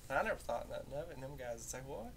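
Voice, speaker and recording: Halting Southern Appalachian man, rumbly recording. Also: expressive, a bit bright. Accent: Southern Appalachian American, somewhat softened. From rural Southern United States, southern Appalachia. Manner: halting, folksy. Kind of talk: casual unscripted personal talk. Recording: rumbly home recording with background noise.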